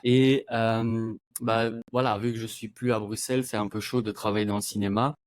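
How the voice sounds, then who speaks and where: A man's voice: on the low side, flat delivery, normal speed, no echo, clean studio sound. Hesitant German-native Belgian man in his thirties. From German-speaking Belgium. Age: 30s. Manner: rambling, many hesitations, laughs often. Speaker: podcast guest, video creator. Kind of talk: casual interview chat.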